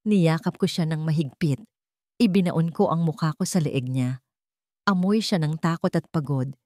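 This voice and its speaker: Audiobook narrator: woman, lowish pitch, dramatic first-person storytelling, very dry recording. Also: moderate pace, dark tone, no echo.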